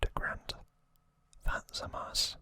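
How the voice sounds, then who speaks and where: A man whispering a casual ASMR recap in short, hushed, unhurried phrases. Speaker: ASMR host.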